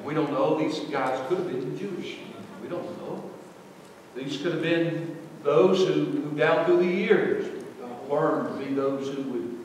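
A middle-aged man preaching a sermon, swinging between loud, emphatic lines and soft ones. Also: on the high side, a little hiss or hum. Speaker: pastor. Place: large church sanctuary. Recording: echoey hall, voice from far off.

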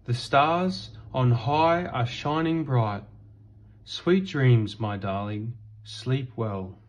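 A man reading a children's bedtime picture book aloud in a gentle, singsong rhythm. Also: medium pitch, animated, extremely slow, lo-fi sound.

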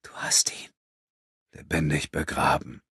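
A man voicing a troubled, compassionate young woman in an audiobook, hushed, breathy and hesitant as she gently probes. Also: a little slow, no echo, whispered, clean studio sound, natural voice. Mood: horrified, hushed.

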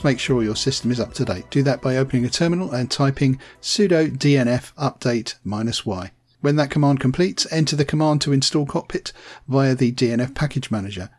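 A man reading a scripted software tutorial voice-over, plain and steady, spelling out commands slowly. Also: medium pitch, breathy edge. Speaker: tech tutorial narrator.

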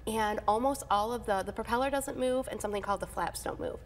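A woman's voice: a little high, quick pace. A woman in her thirties speaks as an airport manager in a TV studio interview. She talks eagerly and at length, with frequent fillers and restarts. A lapel mic keeps her close, over a faint background hum. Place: TV news studio.

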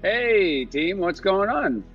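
A hapless young male spy, nervous and evasive in a comedic script read. His voice is animated and husky as he stammers defensively. The audio is a little dull. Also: high voice, slow, faint music behind, heavily acted.

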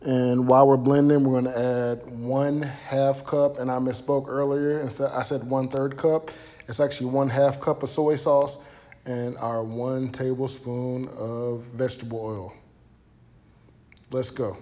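A middle-aged man with an American accent talks in a fairly low-pitched, easygoing way. He walks through things step by step like an informal home cook hosting his own vlog. His voice rings with long reverb, as if caught on a phone in a hard-surfaced kitchen. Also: little pitch movement, a little slow, mellow. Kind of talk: casual recipe walkthrough to camera. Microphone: phone at arm's length.

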